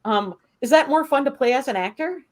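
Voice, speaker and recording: A woman's voice: high voice, animated, brisk. Chatty woman interview host. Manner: chatty and affirming, frequent "right?". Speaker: entertainment show host. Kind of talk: casual interview.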